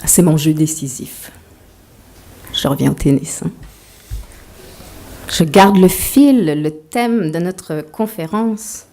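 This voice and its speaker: A woman's voice: deep voice, slow, pauses often, mellow. A woman author giving a talk to an audience, mixing a prepared lecture with playful, self-mocking asides.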